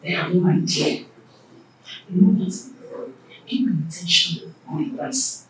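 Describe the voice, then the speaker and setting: A woman's voice: lowish voice, unhurried, echoey room, recorded from a distance, slight background noise, natural voice, low-quality recording. An actress playing a royal mother who earnestly counsels her son and the king. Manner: earnest, persuasive. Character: royal mother, counselling queen, wise and calm.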